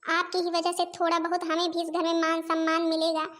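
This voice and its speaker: A woman's voice: very high-pitched, monotone, a little fast, fairly bright tone. A woman voicing put-upon Indian daughters-in-law in a family comedy cartoon, with a complaining, pleading manner. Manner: complaining, pleading, addresses relatives and viewers directly.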